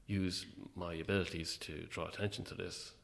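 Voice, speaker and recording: A very low-voiced Irish man with a creaky, gravelly edge gives a relaxed interview. He speaks hesitantly, with dry, self-deprecating humour. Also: moderate pace. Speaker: playwright interviewed as guest. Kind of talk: casual interview chat.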